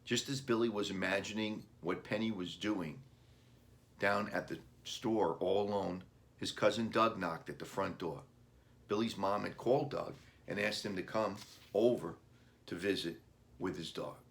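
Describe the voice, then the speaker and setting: Low-pitched man narrating a storybook. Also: a bit bright. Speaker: author reading own book. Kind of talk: scripted children's storybook narration.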